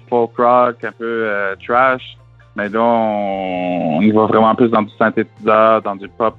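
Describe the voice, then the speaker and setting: A man's voice: lowish voice, gravelly, dry recording. A young man from northern New Brunswick with an Acadian French accent, chatting loosely as a musician guest and mixing English words into his talk. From northern New Brunswick, Canada. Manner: relaxed, loose, many fillers, English words mixed in. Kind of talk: casual interview answers. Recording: tinny phone-like, dry, faint music.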